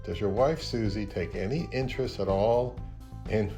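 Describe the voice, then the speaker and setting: A man's voice: on the low side, moderate pace, dark tone, faint music behind. A man speaking as the host of an audio review, interviewing and narrating.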